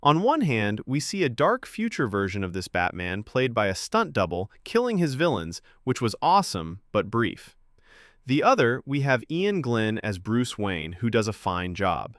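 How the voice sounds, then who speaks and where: A man's voice: mid-range voice, sing-song, normal speed, fairly bright tone, dry recording, clean studio sound. A man hosting a fan ranking video, giving enthusiastic, opinionated scripted narration. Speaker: host of a comic film ranking video.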